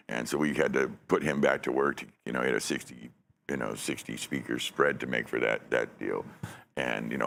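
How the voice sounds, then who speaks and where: Very deep gravelly man host. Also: fairly bright tone. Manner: rambling, profane, laughs often. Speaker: podcast host. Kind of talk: casual chat. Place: dark studio set. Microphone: studio mic on a stand.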